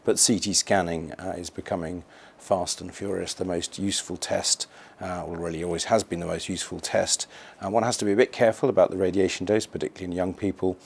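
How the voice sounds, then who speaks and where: A man speaking as a specialist doctor, giving measured explanatory interview answers for an educational film. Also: deep voice, fairly even intonation, vocal fry, slightly rough.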